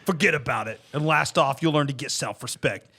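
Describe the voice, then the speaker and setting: A man's voice: brisk, pushed and bright, slightly rough. A man playing a brash, boastful martial arts instructor in a comedy table read, with a blustering, emphatic delivery. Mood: cocky, pitching. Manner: blustering, emphatic sales pitch.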